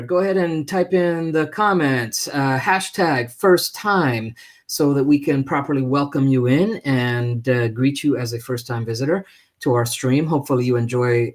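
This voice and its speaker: Man, mid-pitched voice, livestream host, casual, unscripted, halting, friendly. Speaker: live stream host.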